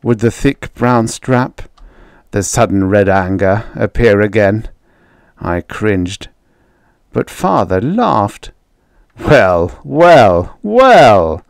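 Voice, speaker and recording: A man reading vintage fiction as an audiobook narrator, deliberate and dramatic. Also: lowish voice, animated, gravelly, clear, resonant tone, no room sound.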